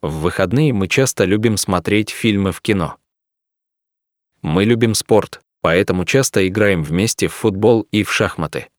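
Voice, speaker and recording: A calm young man, voiced by a male reader who speaks in even, clearly pronounced sentences. his voice sits low. the sound is dry and close, with no room echo. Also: moderate pace, close to an everyday voice. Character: young man describing himself and his friend. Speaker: voice actor in a language test recording. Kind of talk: slow scripted reading for learners.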